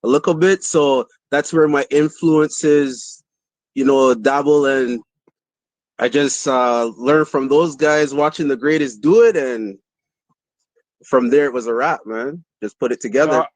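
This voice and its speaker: A man from Barbados with a Barbadian accent, talking about his music as a guest on a podcast in a relaxed, rambling way. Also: medium pitch, unhurried, no echo, low-quality recording. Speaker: dancehall artist guest. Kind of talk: casual interview.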